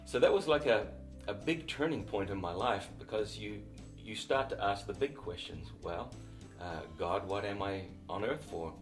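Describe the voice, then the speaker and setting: A middle-aged man from New Zealand with a New Zealand accent, a missionary and author telling his story in an interview, reflective and unhurried with small restarts. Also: on the low side, animated, soft background music.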